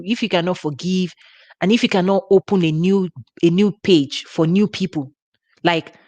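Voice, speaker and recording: Nigerian woman giving a relaxed talk. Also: lowish voice, no echo. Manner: conversational, with frequent restarts and laughs. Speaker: ministry host. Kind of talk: informal teaching talk.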